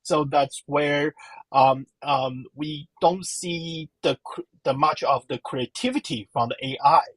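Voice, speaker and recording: A slow-spoken woman gives interview answers in a husky voice. She halts often and fills the gaps while she searches for her words. The sound is dry and close. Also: low-pitched, no echo, rough recording. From Taiwan. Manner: halting, searching for words, many fillers. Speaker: professor and guest.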